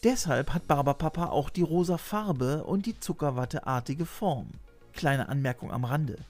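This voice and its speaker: A man's voice: a little high, lively intonation, normal speed, darkish tone. A middle-aged man hosting and narrating a scripted video essay, recorded indoors on a desk microphone. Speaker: host and narrator. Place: small room lined with shelves, indoors. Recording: music behind the voice.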